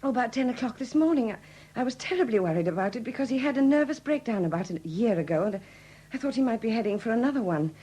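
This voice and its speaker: An actress playing an anxious, apologetic woman who speaks haltingly in a 1970s radio drama. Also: a little high, animated, warm tone, breathy edge. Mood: distressed confiding. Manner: hesitant, faltering, apologetic. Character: anxious, hesitant Welsh woman, troubled and polite.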